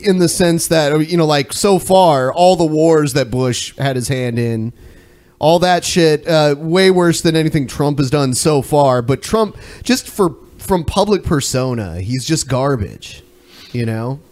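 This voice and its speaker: A man's voice: on the high side, unhurried, a little mellow. A man co-hosting a podcast, giving blunt opinions in casual political banter. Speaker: podcast co-host.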